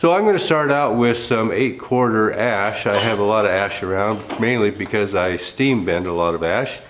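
Hoarse folksy man in a workshop. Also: on the low side, normal speed, noisy background. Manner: folksy, easygoing. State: hoarse from a cold. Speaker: coach builder. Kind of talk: workshop how-to talk. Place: large cluttered indoor workshop.

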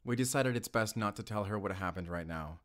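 A man playing an anxious, tender father and lover in an audio roleplay, speaking haltingly with sighs. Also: lowish voice, natural voice. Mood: shaken, tender. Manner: halting, sighing, soft-hearted.

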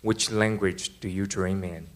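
A man in the audience poses a careful, articulate question. His voice is low and flat, with little rise and fall. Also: unhurried, background noise. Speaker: audience member. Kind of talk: asking a question.

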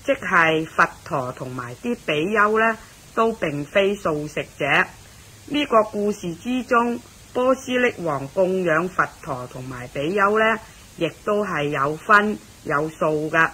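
A woman with a Hong Kong Cantonese accent introduces a radio story, explaining it in a measured, deliberate way. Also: on the low side, lively intonation, sharp tone, slightly rough, poor audio. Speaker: narrator and host of a radio story series.

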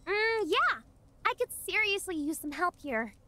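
A woman's voice: extremely high pitch, sing-song, measured pace, very noisy, heavily acted. A woman voicing a sweet, earnest young girl in a video game, polite and a little hesitant. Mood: earnest, apologetic. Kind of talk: video game character acting.

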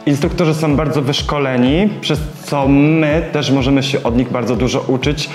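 A man's voice: mid-range voice, normal speed. Earnest young man in a roomy salon. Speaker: hairdresser and salon co-owner. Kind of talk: sponsored testimonial interview. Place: bright hair salon, indoors. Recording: roomy salon with soft background music.

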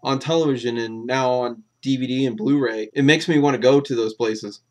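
Brief: male co-host, mid pitch, easygoing, stumbles a little. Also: steady tone, fairly quick, a little mellow. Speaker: movie review show co-host. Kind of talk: casual review chat.

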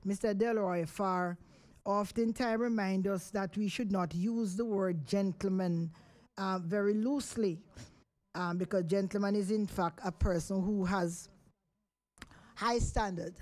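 A woman's voice: medium pitch, steady tone, a little slow, a little mellow. Chatty Jamaican woman radio host. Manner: chatty, rambling with fillers. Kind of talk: live radio talk show. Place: radio studio. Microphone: studio microphone.

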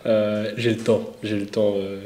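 Animated Belgian man in an echoey room. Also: lowish voice, a little slow. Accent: Belgian French. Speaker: co-host and street interviewer. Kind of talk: casual faith discussion. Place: indoor studio set, echoey room. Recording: roomy echo.

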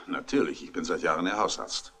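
An actor playing an urbane, knowing family doctor in a 1960s German radio crime drama. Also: male voice, sits low, fairly quick, pushed and bright. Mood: amused.

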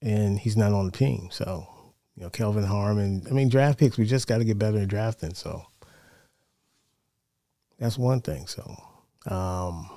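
Male American sports host, middle-aged, lowish relaxed voice, measured and conversational delivery. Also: no echo, studio quality. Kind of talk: casual sports commentary. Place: small home studio, indoors. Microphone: close broadcast mic on boom arm.

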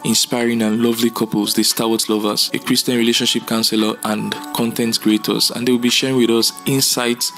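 A man presents a prepared seminar invitation in a medium-pitched, gravelly voice. His delivery is very even, and faint music sits behind him. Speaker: relationship seminar speaker. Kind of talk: prepared promotional talk to camera.

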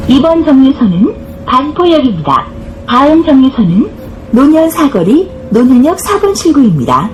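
A woman's voice: sits high, very animated, normal speed, lo-fi sound, noisy background. A woman reading scripted bus stop announcements in a formal, carefully enunciated way, recorded inside a bus. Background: bus interior ambience.

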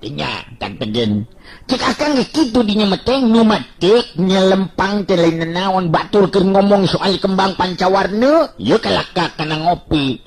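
A man voicing a cheeky young martial hero in a radio story, teasing and bantering excitably. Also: sits high, a little slow, breathy edge, pitched above the performer's own voice, heavily acted. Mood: amused teasing.

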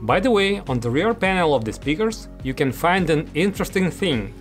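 A man's voice: on the high side, very animated, moderate pace. A man in his thirties giving a scripted product review as host, speaking to camera in an indoor room. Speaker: audio gear reviewer, host. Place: small studio room, indoors. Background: music.

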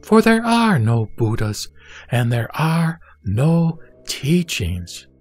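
A man playing the Buddha, a solemn spiritual teacher, reciting scripture in a measured audio drama delivery. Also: a little high, very animated, a little mellow, no room sound, faint music behind, exaggerated voice. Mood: solemn, instructive. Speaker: scripture reader.

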